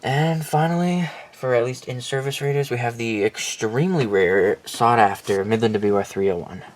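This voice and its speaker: A man's voice: mid-range voice, moderate pace. A man giving a casual, unscripted showcase of his collection, rambling, correcting himself and speaking bluntly. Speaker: collector presenting weather radios. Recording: clear background noise.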